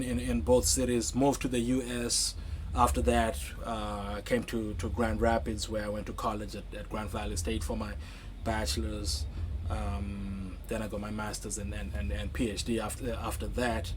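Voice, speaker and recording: Halting, thoughtful man from Zimbabwe. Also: on the low side, slight background noise. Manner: halting, many restarts and fillers, thoughtful. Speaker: author being interviewed. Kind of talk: conversational interview.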